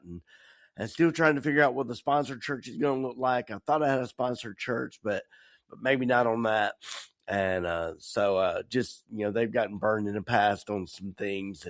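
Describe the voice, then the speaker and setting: A middle-aged American man talking casually as a podcast host, halting and meandering, a bit croupy from a cold. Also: lowish voice, dry recording. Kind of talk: casual personal podcast monologue.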